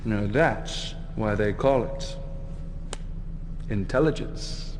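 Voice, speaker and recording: An actor playing a smug, scheming military adviser of a villainous house in a game cutscene, sardonic and deliberate, savoring his pauses. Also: fairly low pitch, big-room sound, background noise. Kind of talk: dramatic game cutscene briefing.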